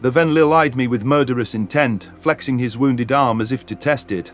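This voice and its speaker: A man's voice: mid-range voice, normal speed, soft background music. A man reading a scripted first-person science fiction story as a dramatic narration.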